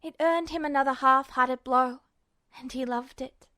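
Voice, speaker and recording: A woman reading fiction aloud as an expressive, scripted audiobook narration. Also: sits high, moderate pace, no room sound, clean studio sound. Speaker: fan fiction audiobook reader.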